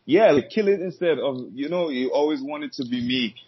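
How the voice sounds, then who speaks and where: A man's voice: fairly high pitch, animated, brisk, slight background noise. A man talking casually as a podcast guest, easygoing and rambling, with fillers and restarts. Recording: dull lo-fi sound.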